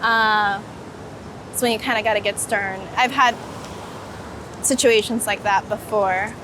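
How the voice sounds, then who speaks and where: A woman's voice: medium pitch, fairly even intonation, measured pace. A woman chatting informally and casually in an interview outdoors on a city street. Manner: informal, chatty, laughs easily. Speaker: street photographer, guest. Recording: noisy city street with traffic.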